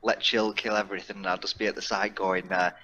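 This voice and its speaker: Dude with a low bright sharp voice, casual online game chat, slight background hiss. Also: male voice, level tone, fast talker, lo-fi sound. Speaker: player. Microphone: computer mic on a voice call. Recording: faintly noisy online voice call.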